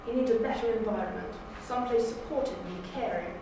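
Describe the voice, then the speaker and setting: A woman's voice: fairly high pitch, quick pace, lightly acted. A woman auditioning for an audio drama as a protective, doting mother standing up for her child. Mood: proud, caring. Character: indignant, doting mother defending her gifted son. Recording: very echoey, distant, noisy room.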